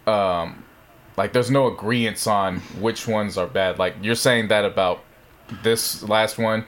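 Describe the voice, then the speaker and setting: A man's voice: fairly low pitch, fairly even intonation, slow, a bit bright. A man co-hosting a podcast in casual chat, easygoing and rambling, with many fillers. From part Mexican family. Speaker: podcast co-host.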